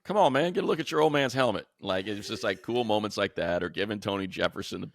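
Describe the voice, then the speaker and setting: A man's voice: low voice, creaky, a bit bright, no room sound, studio quality. A man hosting a football show, telling stories in a casual, enthusiastic, colloquial way. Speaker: sports show host and football play-by-play announcer. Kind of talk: casual sports talk, animated storytelling.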